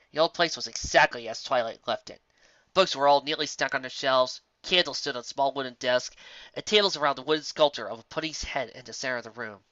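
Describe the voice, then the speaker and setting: A woman narrating a fan-fiction story in an expressive storybook style. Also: extremely low pitch, no room sound. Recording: dull, muffled audio.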